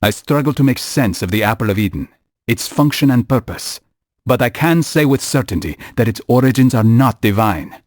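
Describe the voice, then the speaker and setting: An actor playing a grave, philosophical assassin in a video game cutscene, speaking in measured, formal phrases. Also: male voice, medium pitch, lively intonation, a little mellow, no room sound, studio quality, natural voice. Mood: reflective.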